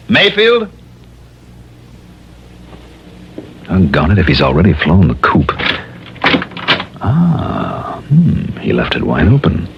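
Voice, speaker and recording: A man's voice: low-pitched, creaky, background noise, exaggerated voice. An American man playing a tough, wry insurance investigator in 1950s radio detective style, brusque and wisecracking. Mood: wary, muttering. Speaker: lead actor and first-person narrator. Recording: muffled old radio recording, music behind.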